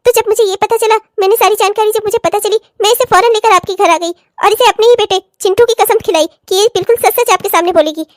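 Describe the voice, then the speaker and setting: Woman playing a stern, lecturing female in-law, high voice. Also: fast, few pauses, dry recording, clean studio sound. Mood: firm, explaining. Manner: emphatic, sarcastic, lecturing. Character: stern, righteous woman in-law exposing the family's hypocrisy. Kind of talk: melodramatic family story acting.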